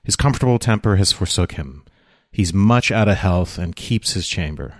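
A man reading grave Shakespeare verse, lowish dry voice. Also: animated, no room sound. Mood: deferential. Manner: formal, measured verse delivery. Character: grave, measured male servant or stern senator. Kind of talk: unrehearsed Shakespeare play reading.

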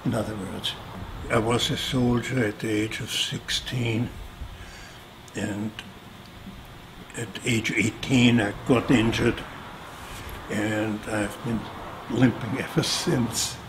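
Slow-spoken older man. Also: on the low side, steady tone, noisy background. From Austria. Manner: slow, deliberate, explaining with pauses and restarts. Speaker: sculptor. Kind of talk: reflective interview answers. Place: indoor studio room.

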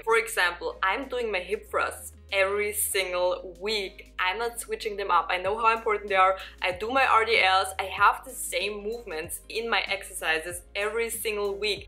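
A young woman talks straight to the camera from her living room, easygoing and encouraging, piling up repeated words when she wants to stress a point. Her voice is bright and clear at a middling pitch, and quiet music plays faintly behind her. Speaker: fitness vlogger. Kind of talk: casual talk to camera. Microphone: camera at desk distance.

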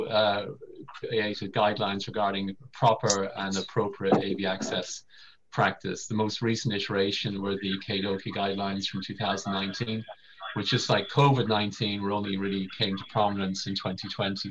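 A man's voice: fairly low pitch, little pitch movement, normal speed, noisy background. A middle-aged Irish man with an Irish accent giving a semi-formal medical lecture over a video call. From Ireland. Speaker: vascular surgeon giving a lecture. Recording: dull lo-fi video call audio.

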